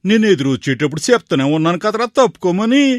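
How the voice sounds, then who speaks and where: A man's voice: high voice, sing-song, quick pace, dry recording, clean studio sound. A man voicing a rustic bullock-cart driver in a story, blunt, colloquial and defensive.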